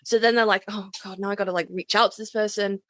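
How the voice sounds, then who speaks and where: A woman's voice: mid-range voice, fairly even intonation, fast talker, fairly bright tone, dry recording, studio quality. A woman chatting casually in a self-mocking way, acting out imagined remarks as she goes. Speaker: podcast participant, fanfiction writer. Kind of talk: casual chat.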